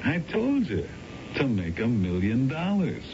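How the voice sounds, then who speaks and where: A man's voice: medium pitch, wildly swooping pitch, a little slow, lo-fi sound. Impatient male con partner, swooping intonation, greedy, vintage radio drama with faint noise. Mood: eager, greedy. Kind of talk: 1970s radio drama acting.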